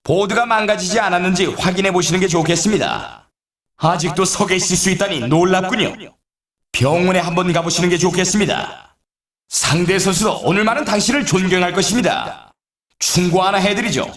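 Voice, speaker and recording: An actor playing an excitable, teasing male snowboard race announcer in a video game, with polite, formal phrasing and playful sarcasm. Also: high voice, fairly quick, reverberant, recorded from a distance. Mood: wry, formal. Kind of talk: scripted game sports commentary.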